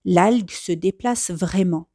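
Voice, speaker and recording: A woman reading a children's storybook aloud as expressive scripted narration. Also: on the low side, takes it slowly, no room sound, clean studio sound. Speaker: storybook reader.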